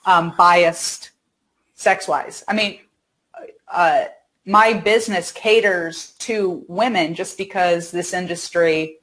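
Low-pitched woman in casual video chat. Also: takes it slowly, fairly bright tone. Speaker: talk show co-host. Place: home room, video call.

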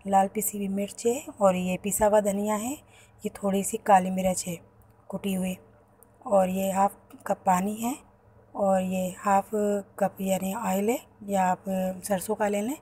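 A woman, hosting a home cooking channel and calmly talking through each step of a recipe. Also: medium pitch, a little slow, husky. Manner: explains each step plainly to viewers. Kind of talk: home cooking tutorial voice-over. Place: home kitchen.

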